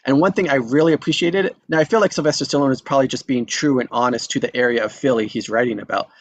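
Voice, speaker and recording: A man's voice: mid-range voice, fast. A man hosting a casual group discussion on a video call, speaking in an encouraging, affirming way. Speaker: host. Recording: dry, slightly dull audio.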